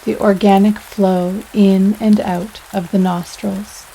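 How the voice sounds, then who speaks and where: A woman leading a guided yoga nidra meditation in a soothing, unhurried way, pausing between phrases. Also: mid-range voice, slight background noise, low-quality recording. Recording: steady rain sounds over voice. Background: rain sounds.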